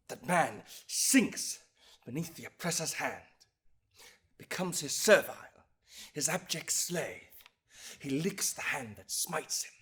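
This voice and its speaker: A man playing an impassioned young eighteenth-century lawyer in a dramatic, theatrical courtroom oration about injustice, with long pauses and big swings of force. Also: fairly high pitch, a bit bright. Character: impassioned young 18th-century lawyer, indignant defender of liberty.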